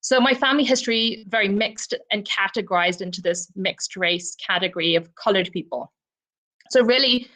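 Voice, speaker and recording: A woman's voice: medium pitch, a bit bright. Woman from Zimbabwe, professor at a book club, thoughtful and personal, leaning on ums and you knows, heard over a video call. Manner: reflective, personal, frequent "um" and "you know" fillers. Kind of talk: virtual book discussion talk.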